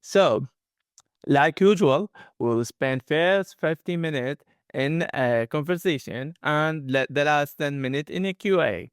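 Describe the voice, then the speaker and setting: Slightly high-voiced man hosting a chat. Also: takes it slowly, clean tone, no room sound, clean studio sound. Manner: reads prepared questions, nonstandard grammar. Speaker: host.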